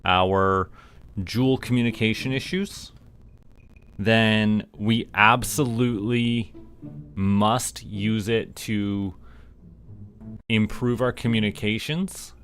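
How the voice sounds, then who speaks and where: A man doing relaxed live game commentary, musing aloud in a halting, unhurried way. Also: lowish voice. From Canada. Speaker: game streamer.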